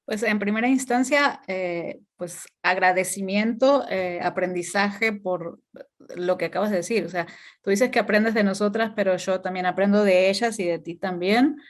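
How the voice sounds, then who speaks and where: Woman, from Argentina, panelist, mid pitch, measured, lots of fillers. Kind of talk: panel discussion.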